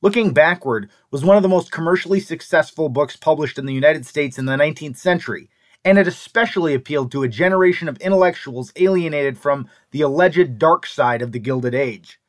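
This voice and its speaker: Man, program host, slightly high voice, scripted introduction, reading quotations. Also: brisk.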